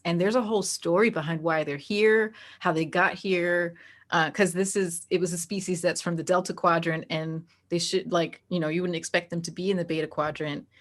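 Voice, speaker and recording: A woman chatting casually as an interview guest, animated and quick to laugh, with a storyteller's way of talking. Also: on the low side. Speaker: interview guest, tabletop game master. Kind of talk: casual interview chat, telling anecdotes.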